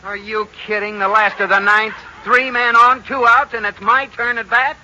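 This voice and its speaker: A very bright, piercing male voice, pitched high, as an exasperated husband in old radio comedy. He is excitable and flustered, often pleading. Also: moderate pace, muffled recording. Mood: excited. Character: exasperated, excitable husband and amateur team captain. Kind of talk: 1940s radio comedy acting.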